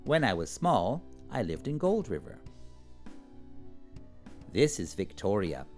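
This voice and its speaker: Slow-talking man teaching kids. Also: on the low side, big pitch swings, darkish tone, faint music behind. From Canada, British Columbia. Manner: slow, simple, friendly speech to kids. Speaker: English teacher for children. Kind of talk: video lesson for young pupils. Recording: roomy echo.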